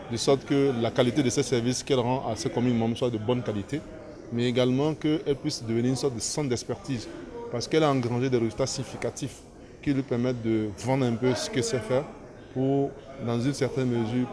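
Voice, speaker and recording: Fast-talking man, crowd behind. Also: medium pitch. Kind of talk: explanatory news interview.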